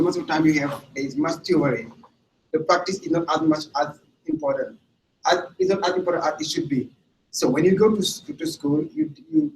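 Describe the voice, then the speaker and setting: A man from Senegal, an English teacher, presenting a training module to camera in a deliberate way, often restarting and repeating words. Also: high voice. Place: room without audience. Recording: echoey room, far from the mic, faint ambience.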